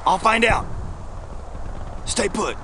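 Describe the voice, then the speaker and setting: Bossy american officer in a ww2 game, stern, swears, voice on the high side, noisy outdoor rumble. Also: male voice, takes it slowly, sharp tone, full character voice. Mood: stern, impatient. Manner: curt, scolding, profane. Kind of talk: video game voice acting.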